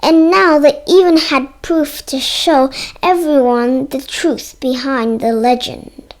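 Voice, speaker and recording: A female reader narrating a children's storybook aloud, haltingly, stumbling over words and sounding them out. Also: high voice.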